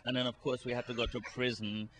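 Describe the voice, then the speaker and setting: A man's voice: mid-range voice, flat delivery, fast talker, a bit bright, low-quality recording. A man speaking conversationally as a guest in an interview about his creative work. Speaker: interview guest, playwright and community columnist.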